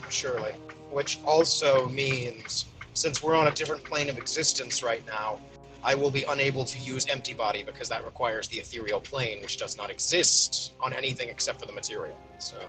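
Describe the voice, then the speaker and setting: A man's voice: mid-range voice, a little fast, rough recording. Casual male gamer over prominent music. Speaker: tabletop game player. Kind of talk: casual rules discussion in a game. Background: background music.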